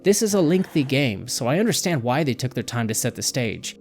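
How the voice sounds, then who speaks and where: A man's voice: mid-range voice, sing-song, a little fast. A man reading a scripted video game review as a voice-over narration. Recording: music behind the voice. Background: background music.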